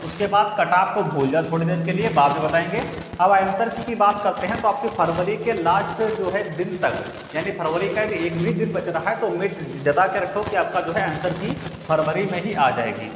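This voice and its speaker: A man's voice: sits high, background noise. A young male teacher explaining exam updates to students, repeating each point and asking the class to recall it. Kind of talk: repetitive classroom-style explanation. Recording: echoey room with long reverb, faint ambience.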